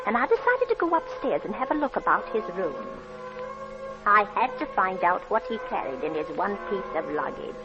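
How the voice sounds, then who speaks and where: A dull old radio recording with music behind an anxious middle-aged landlady. Her high voice swings widely as she speaks in a breathless, dramatic way. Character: anxious, flustered working-class landlady and mother, middle-aged. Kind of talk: 1940s radio drama acting.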